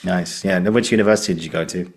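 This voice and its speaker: A man's voice: low-pitched, steady tone, racing. A man hosting a conversational interview in an effusive, rambling, encouraging way. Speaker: podcast host.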